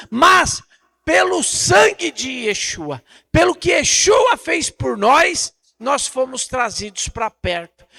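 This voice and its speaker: A man's voice: very high-pitched, vocal fry, sharp tone. A Brazilian man preaching a Bible lesson in an emphatic, didactic way, repeating phrases and posing rhetorical questions. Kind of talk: Bible teaching sermon.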